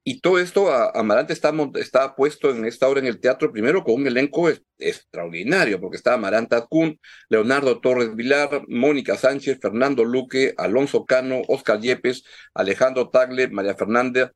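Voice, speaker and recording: A man hosting an interview, asking questions haltingly with many restarts and fillers. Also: medium pitch. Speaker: interview host. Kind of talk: interview conversation.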